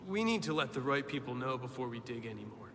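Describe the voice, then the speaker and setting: An actor playing a calm, knowledgeable, kindly mentor to children in an animated film, patient and measured. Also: male voice, mid-range voice, big-room sound, heavy background noise, natural voice. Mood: earnest, reassuring.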